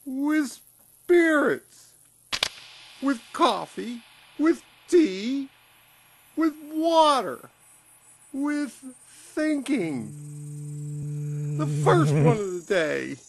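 A woman performing a scripted radio-play recitation, delivering short phrases one at a time in a measured, deliberate way. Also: high voice, very animated.

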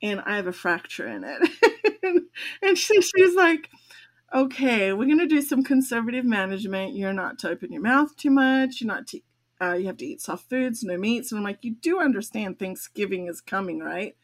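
A woman's voice: fairly high pitch, expressive, moderate pace. An older woman, a grandmother and podcast co-host, telling a long personal story in casual chat, laughing often as she talks. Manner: chatty, laughs often while telling stories.

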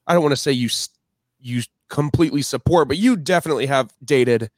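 A man in his twenties co-hosting a podcast, talking casually in a halting way with restarts. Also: mid-range voice, no room sound, clean studio sound. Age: 20s. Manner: halting, restarts, drawn-out phrasing. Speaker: podcast co-host. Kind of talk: casual chat.

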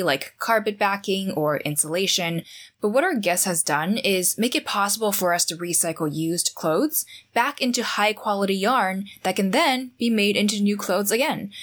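A woman's voice: mid-range voice, a bit bright. A woman podcast host with an upbeat, enthusiastic delivery, introducing the show and asking interview questions.

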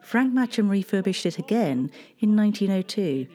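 Solemn, mellow documentary narrator. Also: mid-range voice, expressive, soft background music. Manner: measured, solemn reading. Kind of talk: scripted historical narration.

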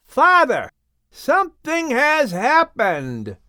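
A man voicing an excitable young son calling out in a Bible storybook. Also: extremely high pitch, takes it slowly, dry recording, clean studio sound, pitched above the performer's own voice, heavily acted. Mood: alarmed, excited.

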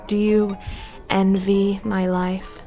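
A woman's voice: medium pitch, level tone, slow, mellow, soft background music. A woman playing a bitter, sardonic, hard-drinking housewife, curt and cynical, in a period audio drama. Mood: suspicious.

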